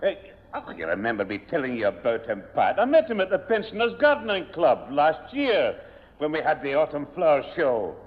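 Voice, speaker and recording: An actor playing an older grandad in a 1970 radio sitcom, genial but quick to grumble. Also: male voice, on the high side, wildly swooping pitch. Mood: amused. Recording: tinny noisy old radio, hall reverb.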